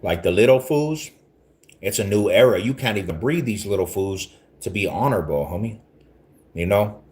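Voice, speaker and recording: Deep-voiced man in his forties, rambling street talk. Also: animated, normal speed, a little mellow. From Denver, Colorado. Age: 40s. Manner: rambling, repeats himself, street slang. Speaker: livestream host talking to viewers. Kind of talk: casual street-talk livestream.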